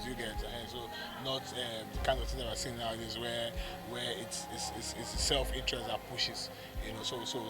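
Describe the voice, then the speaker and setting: A man with a Nigerian accent giving an informal interview as a theatre producer, emphatic and often repeating himself. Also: mid-range voice. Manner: emphatic, repeats words and checks with "you get". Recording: loud music behind the voice.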